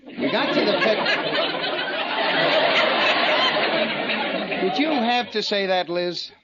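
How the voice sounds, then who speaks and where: An actor playing a flustered, easily exasperated husband in old-time radio comedy, hesitant and theatrical. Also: male voice, high-pitched, lively intonation, normal speed, a loud crowd. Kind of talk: 1940s-50s radio comedy acting. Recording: dull noisy old radio recording, audience. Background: studio audience and noise.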